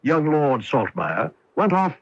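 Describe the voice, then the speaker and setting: A man playing a shrewd, courteous English detective in a radio mystery drama, polite, deliberate and probing. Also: fairly high pitch, very animated, exaggerated voice. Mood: assured, teasing. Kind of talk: old-time radio mystery drama acting. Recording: dull lo-fi AM radio broadcast.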